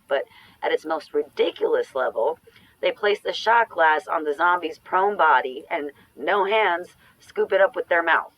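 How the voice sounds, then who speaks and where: A woman's voice: low voice, a bit bright, no echo, poor audio. A woman acting an anxious, sardonic ex-girlfriend in a naturalistic audio drama, speaking in a dry, opinionated, conversational way. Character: anxious, sardonic ex-girlfriend living through a zombie outbreak.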